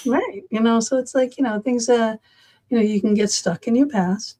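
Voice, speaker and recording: A woman's voice: on the high side, moderate pace, warm tone. A middle-aged woman from Massachusetts with a Massachusetts accent, chatting casually and animatedly, rambling with many fillers. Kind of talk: casual chat.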